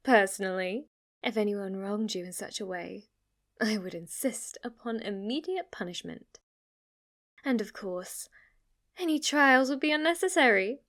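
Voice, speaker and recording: A woman's voice: medium pitch, lively intonation, measured pace, fairly bright tone. A woman playing a devoted, submissive demon maid in an ASMR roleplay, with a formal, deferential and adoring manner. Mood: deferential, composed. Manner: formal, deferential, breathless adoration, sometimes whispering. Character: devoted, submissive, obsessively worshipful female demon maid servant.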